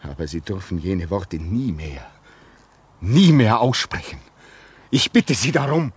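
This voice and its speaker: A low-voiced, haunted lonely signalman. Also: animated, breathy edge, no echo, exaggerated voice. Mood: urgent pleading. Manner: brooding, halting, intense, theatrical. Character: brooding, haunted, lonely male railway signalman, fanciful and obsessive. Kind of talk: 1950s German radio drama acting. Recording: noisy 1950s radio recording.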